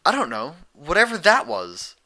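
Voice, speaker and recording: A man's voice: sits high, wildly swooping pitch, normal speed, sharp tone, pitched above the performer's own voice, exaggerated voice. A man voicing a brash, cocky young female flying pony with a casual manner in a story reading. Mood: puzzled.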